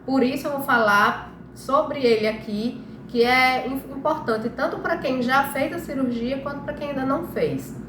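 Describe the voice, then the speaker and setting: Brazilian woman, stuffy nose from flu, conversational health host, frequent check-in tags, roomy echo, clear background noise. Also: high voice, normal speed. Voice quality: slightly nasal from a cold. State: congested, recovering from flu. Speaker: live stream host giving health advice. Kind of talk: informal explanatory live talk.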